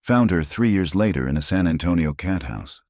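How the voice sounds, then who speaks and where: Wyatt, a man reading a gruff frontier marshal. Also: low voice, expressive, normal speed, darkish tone, no echo, clean studio sound. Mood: steady. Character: gruff middle-aged male frontier marshal, steady.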